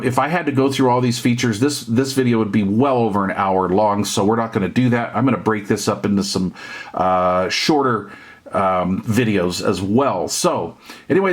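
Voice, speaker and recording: A middle-aged or older man giving a casual, off-the-cuff product review in a conversational way, with hesitant pauses. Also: mid-range voice. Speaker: CB radio hobbyist and reviewer.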